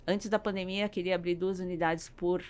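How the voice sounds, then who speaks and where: A woman's voice: on the low side, fairly even intonation, normal speed. A Brazilian woman speaking as a business owner in a conversational interview, searching for words and often adding tag questions. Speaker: podcast guest, business owner.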